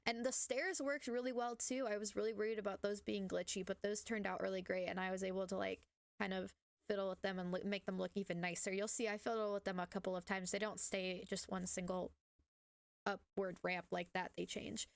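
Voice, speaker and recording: Young woman, hoarse and scratchy from illness, rambling voice-over host, dry close sound. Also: medium pitch, little pitch movement, fairly quick, no room sound. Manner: rambling, many fillers and self-corrections. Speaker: gaming channel host. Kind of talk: casual voice-over commentary on gameplay.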